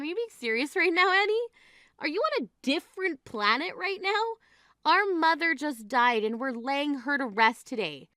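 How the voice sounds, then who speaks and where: A woman's voice: high voice, pushed and bright, no room sound, clean studio sound, exaggerated voice. A woman playing an exasperated, grieving sister who scolds in an incredulous, reproachful way in a dramatized story. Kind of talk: dramatized phone-call story dialogue.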